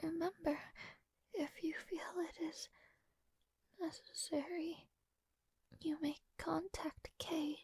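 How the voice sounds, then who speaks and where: Woman doing a frail gentle princess for fantasy audio rp, high breathy whispery voice, super slow n formal, close dry sound. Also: flat delivery, no echo, full character voice. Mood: weak, grateful, tender. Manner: slow, hesitant, softly formal. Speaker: voice actor in audio roleplay. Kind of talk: intimate romantic fantasy audio roleplay.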